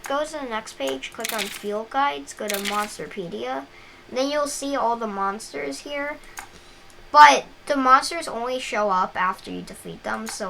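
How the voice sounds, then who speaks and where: A woman's voice: fairly high pitch, creaky, sharp tone. Young female gameplay host, scratchy, rambling and casual, noisy home recording. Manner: rambling, filler-heavy, frequent restarts.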